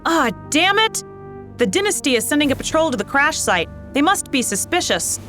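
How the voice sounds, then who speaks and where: Higher-voiced no-nonsense crew officer. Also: female voice, very animated, moderate pace, a bit bright, faint music behind. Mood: urgent. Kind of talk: video game cutscene acting.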